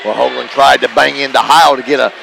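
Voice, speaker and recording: A man's voice: mid-range voice, steady tone, fast, vocal fry, bright, forceful tone. A man giving conversational, emphatic color commentary during a live basketball broadcast. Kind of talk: live sports analysis, conversational. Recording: noisy live gym broadcast. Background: gymnasium ambience, bouncing basketballs.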